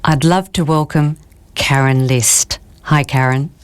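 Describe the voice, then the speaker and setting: Low-voiced woman hosting a podcast. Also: steady tone, slow pace, clean tone. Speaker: podcast host. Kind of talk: podcast interview.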